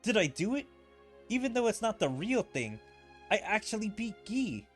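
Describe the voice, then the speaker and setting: Man voicing an eager, high-pitched plucky adventurer. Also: big pitch swings, normal speed, faint music behind. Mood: amazed, triumphant. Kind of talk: reading game story dialogue aloud.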